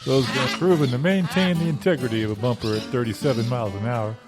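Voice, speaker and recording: A man's voice: fast, natural voice. A man improvising a folksy preacher who deadpans hellfire and used car deals in a parody radio ad. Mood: righteous selling. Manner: homespun, deadpan mix of sermon and sales pitch. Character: folksy fire-and-brimstone Baptist preacher selling used cars. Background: guitar music.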